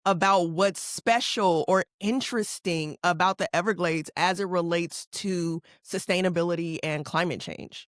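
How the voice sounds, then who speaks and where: Animated woman host, dry sound. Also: lowish voice, dry recording. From California. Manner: animated, quick follow-up questions with affirming interjections. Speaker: podcast host. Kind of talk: conversational interview.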